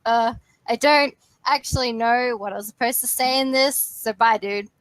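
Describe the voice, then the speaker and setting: Woman as a rambling, friendly young guy, higher-pitched. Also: moderate pace, no room sound, close to an everyday voice, poor audio. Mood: chatty, casual. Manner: rambling, hesitant, stumbling casual delivery. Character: Hunk, big friendly anxious rambling young man sending video messages. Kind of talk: amateur fan fiction dramatic reading.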